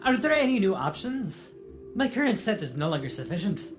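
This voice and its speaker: A woman playing a fussy, condescending rich gentleman shopper in a radio serial skit. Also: mid-range voice, big pitch swings, a little fast, music in the background, natural voice. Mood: haughty, impatient. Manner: demanding, condescending.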